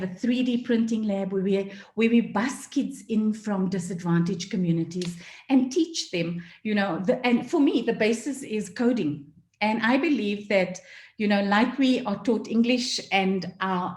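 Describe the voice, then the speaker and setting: A woman from South Africa giving an earnest talk at an online forum, often pausing with fillers. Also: mid-range voice, darkish tone, echoey room. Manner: earnest, many fillers, emotional at times. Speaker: forum speaker. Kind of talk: passionate talk. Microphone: video call.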